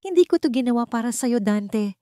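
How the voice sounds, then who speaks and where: A woman's voice: fairly high pitch, expressive, brisk, dark tone, no echo, pitched above the performer's own voice, close to an everyday voice. Woman acting a firm, tender Filipino mother, dry sound. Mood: resolute. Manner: direct, earnest. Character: forgiving, steadfast mother, firm and tender with her son.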